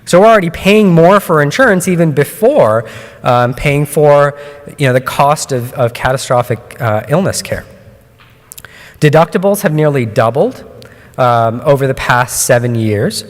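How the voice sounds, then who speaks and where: Doctor lecturing in an echoing hall. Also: male voice, fairly high pitch, animated. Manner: measured, explanatory, with frequent ums and restarts. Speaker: oncologist giving a talk. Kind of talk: conference presentation. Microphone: microphone at a lectern. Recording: long hall reverb.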